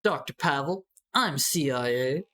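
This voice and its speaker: A woman voicing a menacing masked male villain, speaking slow, low and drawn out. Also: lively intonation, a bit bright, exaggerated voice. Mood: mock menacing.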